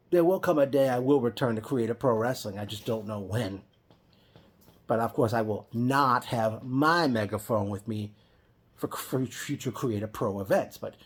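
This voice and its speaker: A man talks through his reaction at a middling pitch, in an unscripted vlog style. He often stops to correct and restart his sentences. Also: husky. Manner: rambling, with frequent restarts and self-corrections. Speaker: wrestling fan vlogger. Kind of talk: casual spoken reaction vlog.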